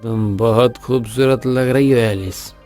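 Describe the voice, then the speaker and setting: An actor playing an arrogant, short-tempered, brooding company boss in a Hindi audio romance drama. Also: male voice, mid-range voice, fairly even intonation, measured pace, darkish tone, soft background music, close to an everyday voice. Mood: drunk tenderness.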